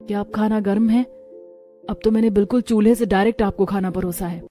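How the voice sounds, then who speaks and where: Woman as a patient young daughter-in-law, mellow-voiced. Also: medium pitch, fairly even intonation, brisk, faint music behind, lightly acted. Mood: hopeful. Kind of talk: acted dialogue in a narrated story.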